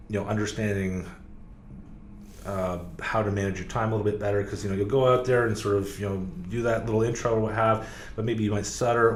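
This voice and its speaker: A man speaking as a podcast guest, talking casually with lots of fillers and restarts. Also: lowish voice. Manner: rambling, many fillers and restarts. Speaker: podcast guest, car sales professional. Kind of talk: casual interview talk. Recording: echoey room, faint background noise.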